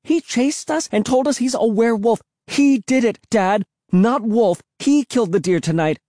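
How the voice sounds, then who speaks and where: Man acting a shrill, frantic young boy. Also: normal speed, mellow, dry recording, studio quality. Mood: panicked. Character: frantic, stubborn young boy pleading with his father.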